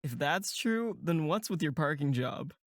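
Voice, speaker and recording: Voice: medium pitch, lively intonation, a little slow, slight background noise, natural voice. A voice actor playing an earnest, casual young male camp counselor in a horror audio drama. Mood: teasing.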